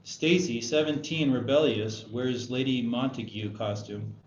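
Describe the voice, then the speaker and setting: A man narrates stage directions at a screenplay table read. His voice is mid-pitched, with room echo and faint noise around it. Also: unhurried. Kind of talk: scripted narration. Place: roomy indoor table read. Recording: echoey room, dull sound, faint noise.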